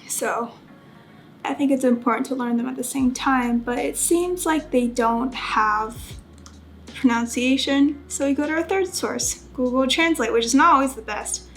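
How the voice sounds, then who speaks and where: A woman's voice: high voice, normal speed, fairly bright tone. A woman chatting casually as a study vlogger, carefully sounding out vocabulary as she learns. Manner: self-conscious, careful when pronouncing foreign words. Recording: background music under voice. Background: music.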